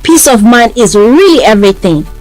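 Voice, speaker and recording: A woman's voice: a little high, big pitch swings, normal speed, a little mellow. A woman with a Nigerian accent acting as interviewer in a scripted, polished and enthusiastic radio promotion. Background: music.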